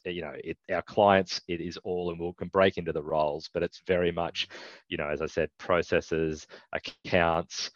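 Deep-voiced man, dull dry recording. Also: no room sound. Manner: measured, explains in steady points. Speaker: guest, recruitment business owner. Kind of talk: casual business chat.

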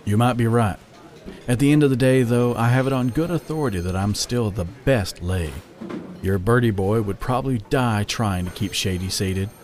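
A man voicing a cool, dominant male animal husband in a story, blunt and dry with quiet amusement. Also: medium pitch, normal speed, close to an everyday voice. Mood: smug, amused.

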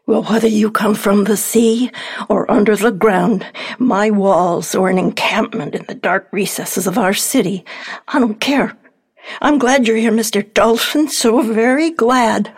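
An elderly widow played by an actress in a radio drama, wistful and lonely. She speaks slowly, with a quiet yearning for someone to keep her company. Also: mid-range voice, slightly rough. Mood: tender, welcoming. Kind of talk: radio play acting.

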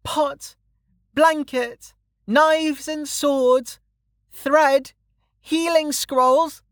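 A sulky little girl, as a male narrator puts her on, pitched very high and petulant. She is blunt and stubborn, a storybook child who would rather not be bothered, and she takes her time over each word. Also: steady tone, very slow, no room sound, studio quality, heavily acted. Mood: loud, grudging hawking. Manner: petulant, hollers her words.

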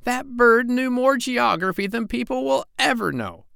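Haughty old lady parrot, played by a man, very high squawky falsetto, indignant storybook chatterbox. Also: expressive, normal speed, gravelly, bright, forceful tone, clear, resonant tone, dry recording, studio quality, exaggerated voice. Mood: scornful, indignant. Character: very old female parrot, opinionated and scornful of people. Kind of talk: children's storybook character voice.

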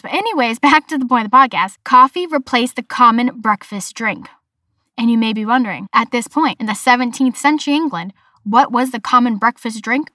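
A young woman from Massachusetts with a Boston accent talks through her solo podcast in a chatty, animated way, breaking into excited asides. Her voice sits a little high, and the recording is dry and close. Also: no echo. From Massachusetts, USA. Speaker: podcast host.

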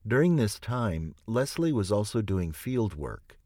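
A man's voice: fairly low pitch, big pitch swings, a little slow, darkish tone, dry recording, studio quality. A man reading a nonfiction audiobook as a scripted narration.

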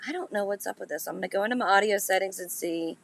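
Woman, podcast host, congested and nasal, raspy gritty voice, easygoing chat with listeners. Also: mid-range voice, rapid-fire. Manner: chatty, laughs at herself, talks straight to listeners. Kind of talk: casual live chat with listeners.

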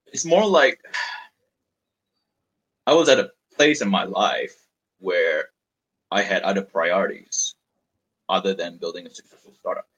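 A man's voice: low-pitched, lively intonation, a little slow, pauses often, a bit bright, husky, low-quality recording. A man in his thirties from Malaysia, a startup founder being interviewed, speaking frankly and rambling a little as he tells his story. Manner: frank, rambling, repeats words while thinking. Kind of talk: candid conversational storytelling.

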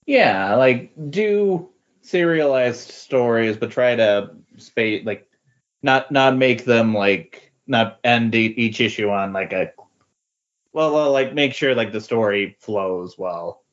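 A man chatting casually on a podcast, halting as he searches for words. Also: medium pitch, expressive. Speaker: podcast guest or co-host. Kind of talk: casual chat. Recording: dull, muffled lo-fi audio.

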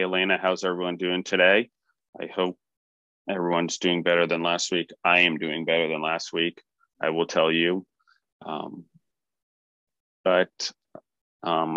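Deep-voiced man, motivational podcast talk. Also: a bit bright, no echo, muffled recording. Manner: motivational, rambling with fillers and restarts. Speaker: podcast co-host. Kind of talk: casual podcast talk on leadership.

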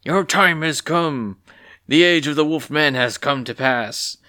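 A man voicing a commanding robed sorcerer who proclaims in a booming voice. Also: unhurried, sharp tone. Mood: grandiose.

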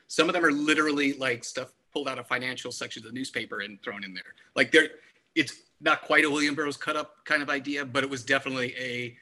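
A man's voice: medium pitch, fast talker, pushed and bright. A man talking casually through an album discussion, explaining his views in wordy, analytical detail.

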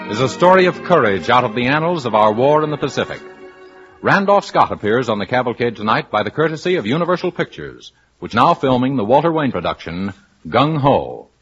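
A man's voice: medium pitch, fairly bright tone. A man formally announcing a drama program in 1940s radio style. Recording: muffled vintage radio with background music.

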